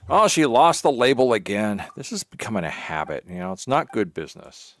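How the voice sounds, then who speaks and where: Low-voiced man rambling through a game stream. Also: very animated, a little fast, fairly bright tone. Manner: rambling, talks to himself while playing. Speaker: livestream host. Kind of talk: casual gaming stream commentary. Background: game music.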